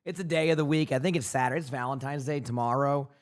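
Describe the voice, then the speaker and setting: Hoarse male streamer chatting. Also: mid-range voice, fast. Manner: rambling, chatty, many restarts. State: hoarse, losing his voice. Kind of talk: casual chat with a live chat.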